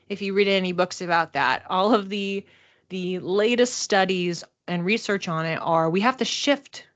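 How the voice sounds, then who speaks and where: An encouraging woman giving an informal, conversational personal card reading with emphatic delivery, in the manner of a wellness coach. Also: medium pitch. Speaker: intuitive reader and wellness coach. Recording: slightly dull, lo-fi recording.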